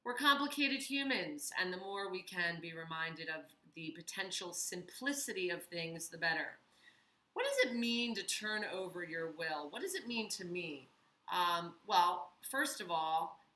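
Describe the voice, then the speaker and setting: A woman giving an earnest, reflective talk for her video series, with thoughtful pauses and fillers. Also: on the low side, pushed and bright, echoey room. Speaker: vlogger. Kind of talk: reflective personal talk to camera.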